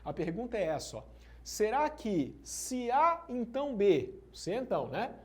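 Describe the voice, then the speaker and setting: A man's voice: high voice, sing-song, measured pace. A male teacher giving an exam-prep lesson at a whiteboard, slangy and emphatic, checking in with students often. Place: classroom at a whiteboard.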